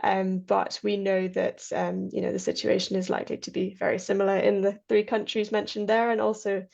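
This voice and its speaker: A woman's voice: medium pitch, level tone, fairly quick, vocal fry, a little mellow. A woman answering questions on a panel, hesitant and full of restarts, over a video call. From Kenya. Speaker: researcher and film panelist. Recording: dull lo-fi video call audio.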